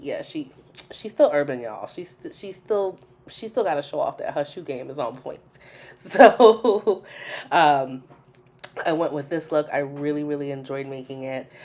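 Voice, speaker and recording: Woman, low pitch, nasal and congested, informal gaming commentary, chatty, emphatic repetition. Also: darkish tone. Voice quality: stuffy, nasal. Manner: informal, repeats phrases for emphasis. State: congested from a cold. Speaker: gaming video host. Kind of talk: casual chatty voice-over commentary.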